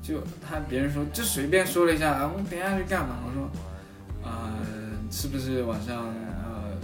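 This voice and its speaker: A man's voice: mid-range voice, steady tone, normal speed. Man chatting casually in an echoey room. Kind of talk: casual chat, reminiscing. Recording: roomy echo, off-mic, music behind.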